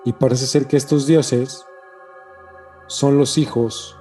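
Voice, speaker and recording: A man's voice: medium pitch, fairly even intonation, slow pace, mellow. A man with a Mexican accent in casual podcast chat, slangy and emphatic, often repeating himself. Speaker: podcast co-host. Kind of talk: casual podcast chat about ancient mysteries. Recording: music behind the voice.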